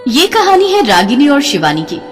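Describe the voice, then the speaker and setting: A woman tells a cartoon story with a very lively, sing-song delivery. Her voice sits fairly high. It is bathed in reverb, and soft music plays underneath. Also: normal speed. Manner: expressive, melodic. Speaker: narrator. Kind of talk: scripted storytelling narration.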